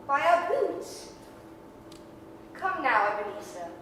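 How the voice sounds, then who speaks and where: A woman playing a gentle, principled young sweetheart in a 1930s radio-style stage drama. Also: sing-song, slow, full character voice. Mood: playful. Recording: echoey hall, distant mic, faint rumble.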